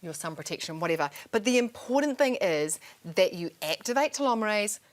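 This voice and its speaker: An Australian woman as a guest on a TV shopping show, explaining a skincare product in a calm, assured way. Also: medium pitch, a little fast.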